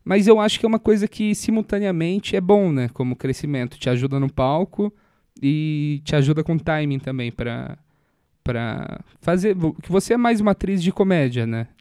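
A host from Minas Gerais with no regional accent, asking questions in a relaxed, joking interview chat. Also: medium pitch, normal speed, smooth, dry recording, clean studio sound. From Minas Gerais, Brazil.

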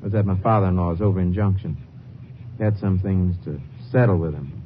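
An actor playing a defensive, proud young deputy who gives clipped answers under questioning in a 1950s radio drama. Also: male voice, low-pitched, fairly quick, natural voice. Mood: weary. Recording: dull old radio recording, faint hiss.

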